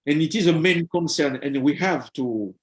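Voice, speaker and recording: Halting man in an echoey room. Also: a little high, animated. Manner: halting, searching for words. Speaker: panel guest. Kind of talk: answering questions in a discussion.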